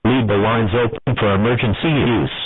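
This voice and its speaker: A man reading a scripted emergency broadcast warning as an announcer, in a formal, measured, impersonal way over thin radio-alert audio. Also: fairly low pitch, breathy edge, no echo, narrow, tinny audio.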